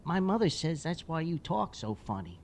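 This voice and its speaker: A man voicing a curious, lonely little boy who asks eager questions in a raised, childlike pitch. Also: very animated, moderate pace, darkish tone, a little hiss or hum.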